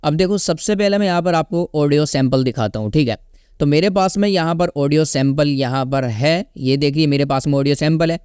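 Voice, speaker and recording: A man presents a casual tutorial in a smooth, fairly high voice. He explains one step at a time in an instructional way, often checking that the listener follows. The recording is dry, with very little room sound. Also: a little fast, darkish tone, no room sound. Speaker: tutorial host.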